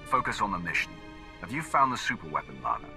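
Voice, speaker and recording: An actor playing a ruthless dark emperor in a video game, speaking in curt, imperious commands. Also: male voice, sits low, big pitch swings, quick pace, creaky, soft background music, exaggerated voice. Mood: urgent. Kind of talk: cinematic video game acting.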